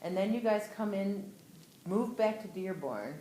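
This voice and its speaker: A woman's voice: medium pitch, expressive, measured pace, dark tone. A woman interviewing an older relative in a casual way, asking curious, prompting questions. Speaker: interviewer, family member. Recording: roomy home recording with faint noise.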